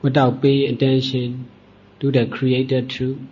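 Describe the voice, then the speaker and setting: A man teaches meditation in a soft-edged, mellow voice that is slightly husky and veiled. He speaks deliberately, in short phrases separated by long pauses. The recording is dull and lo-fi. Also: medium pitch, completely flat delivery. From Myanmar. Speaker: Buddhist monk and meditation teacher.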